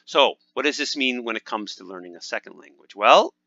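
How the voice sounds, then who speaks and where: A man's voice: medium pitch, animated, brisk, bright, forceful tone, no room sound, muffled recording. A man hosting a casual language lesson. He talks upbeat over gameplay, with many "uh" fillers and restarts. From United States. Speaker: language teacher and channel host.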